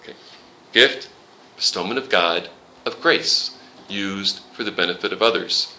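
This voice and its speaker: A man's voice: deep voice, a little slow, bright, forceful tone. A man leading an informal Bible study class, quoting scripture and joking with the students. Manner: conversational, quotes scripture, jokes with the class.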